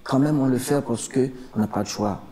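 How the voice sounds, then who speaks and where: A man's voice: mid-range voice, fairly quick, warm tone. A man with a Haitian accent giving spontaneous interview testimony as an asylum seeker, emphatically repeating his phrases.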